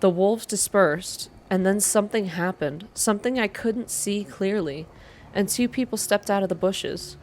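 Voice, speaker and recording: A woman reading first-person story narration aloud in a soft, hushed, measured ASMR style. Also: medium pitch, a little hiss or hum. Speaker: narrator reading a visual novel game aloud.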